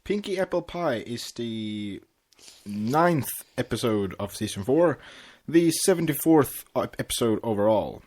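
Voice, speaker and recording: A male podcast co-host with a mid-range voice. He speaks casually and a bit haltingly, circling back over his words as he chats or reads out notes. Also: medium pitch, animated, a little mellow. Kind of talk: casual fan podcast chat, partly reading episode notes aloud.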